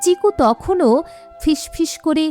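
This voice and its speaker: Female storybook narrator, scripted read, slow delivery, slightly high voice. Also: lively intonation, mellow, faint music behind.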